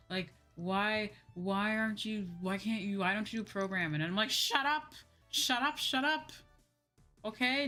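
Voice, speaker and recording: A woman's voice: mid-range voice, fairly bright tone. A young woman streamer chatting casually on a livestream, rambling and repeating her phrases for emphasis. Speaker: VTuber streamer. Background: background music.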